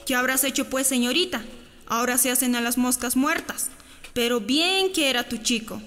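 A contemptuous, mocking actress's woman official from a radio drama. Her high voice drips sarcasm and rings with long reverb. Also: moderate pace, fairly bright tone, soft background music. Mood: scornful. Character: scornful, mocking woman official dismissing a girl.

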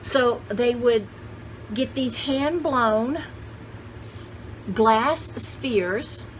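A woman's voice: a little high, very slow, slight background noise, poor audio. A woman in her sixties chatting casually as a vlog host, with a folksy, jokey, rambling manner. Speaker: host of a vintage haul video channel. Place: indoor home studio room with shelves.

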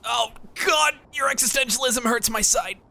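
A man's voice: very high-pitched, normal speed, vocal fry, pushed and bright, heavily acted. A man improvising a comedic game fandub as a neurotic, rambling young male superhero with a whiny, dramatic delivery. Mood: pained, exasperated.

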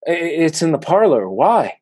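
Husky, gentle old husband played by a man. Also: fairly high pitch, sing-song, unhurried, heavily acted. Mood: alarmed. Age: older. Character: elderly husband, gentle, protective, fearful and weary. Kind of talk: radio play acting.

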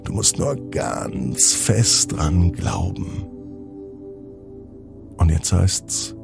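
A man narrating a scripted children's bedtime story in a gentle, unhurried way, with deliberate pauses. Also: sits low, expressive, vocal fry, over music.

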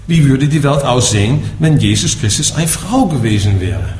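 Dutch-accented man giving a lively dharma talk. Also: mid-range voice, moderate pace. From Netherlands. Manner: explaining, asks "ja?" after nearly every point. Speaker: Buddhist teacher. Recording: echoey room with faint background noise.

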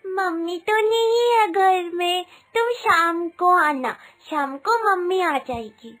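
Very high little village girl. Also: fairly bright tone, full character voice. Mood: unsure. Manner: innocent, earnest, slow. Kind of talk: Hindi cartoon moral story acting. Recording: music behind the voice.

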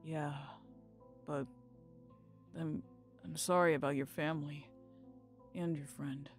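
A woman playing a friendly young guardian who reassures the heroine, in a fairly low voice. She talks in a relaxed, easy way. Faint music sits underneath. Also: slow pace. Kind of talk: fan audio drama acting.

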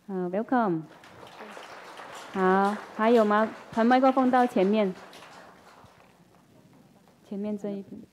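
A woman's voice: mid-range voice, steady tone, a little hiss or hum. A woman emceeing a temple gathering, calling people up and leading the chanted visualization. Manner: brisk directing prompts, then slow liturgical chanting. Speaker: ceremony emcee.

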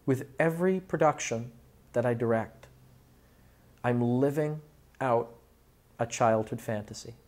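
A man in his forties speaking deliberately and articulately in a reflective interview as an audiobook director. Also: mid-range voice, a little mellow. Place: small office, indoors. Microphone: lapel mic.

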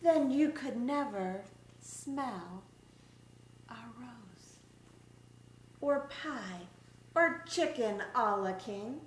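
A middle-aged woman reads a picture book aloud for children's story time, expressively and deliberately, drawing out her words. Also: on the high side, lively intonation. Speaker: special education teacher reading a picture book aloud. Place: small indoor room set up as a classroom. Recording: roomy echo, background room noise.

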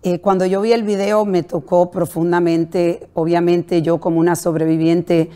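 A middle-aged woman hosting a talk show, speaking deliberately. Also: fairly low pitch, level tone, mellow, smooth. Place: talk show studio set.

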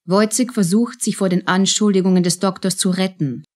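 Female narrator for a radio play, medium pitch, scripted storytelling delivery. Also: fairly quick.

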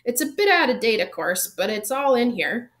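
A big, bearded gamekeeper from a storybook, voiced by a woman reader. He is friendly and proud, open to flattery, and talks in a blunt, homely, informal way. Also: on the high side, moderate pace. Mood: excited, proud. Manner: folksy, informal, blurting. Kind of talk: audiobook character reading.

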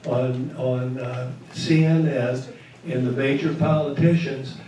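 A man's voice: medium pitch, darkish tone, distant mic, a little hiss or hum. Older man, earnest and persuasive, heard from afar in an echoey hall. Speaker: meeting attendee. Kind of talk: political appeal at a meeting. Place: large meeting hall indoors. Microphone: recorded from far camera.

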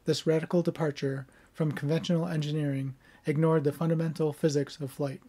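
A middle-aged man in a home room with bookshelves, speaking with a somewhat monotone delivery, first chatting casually, then reading scripted history narration. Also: a little high, brisk. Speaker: history video creator.

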